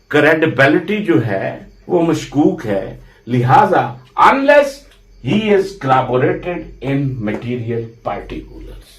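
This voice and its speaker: Slow, animated male law lecturer. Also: fairly high pitch, reverberant. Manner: emphatic, deliberate, repeats points in rephrasing. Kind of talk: explanatory lecture mixing Urdu with English legal terms.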